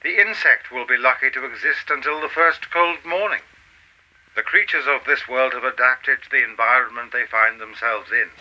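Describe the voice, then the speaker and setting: An actor playing an enigmatic, otherworldly male teacher in a radio play, speaking in a calm, cryptic, formal and measured way. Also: medium pitch, muffled recording. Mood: cryptic, lofty.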